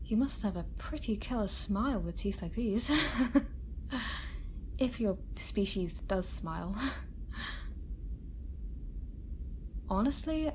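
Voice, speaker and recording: A woman playing a kind research doctor in a soft-spoken ASMR roleplay, talking gently, hesitantly and reassuringly to an alien patient. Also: mid-range voice, natural voice, low-quality recording. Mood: warmly amused. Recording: steady ambient noise bed. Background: sci-fi room ambience.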